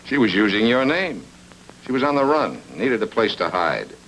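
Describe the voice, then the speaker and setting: A man's voice: fairly low pitch, sing-song, normal speed, fairly bright tone, a little hiss or hum, rough recording. A man playing a sardonic, probing private eye in a film noir radio drama, terse and wry.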